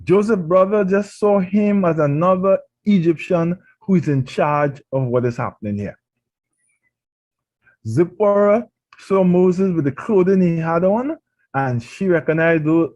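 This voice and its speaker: A man's voice: high voice, animated, unhurried, a little mellow, rough recording. A man with a Trinidadian accent, preaching a radio sermon and pressing his points with emphatic rhetorical questions. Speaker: pastor.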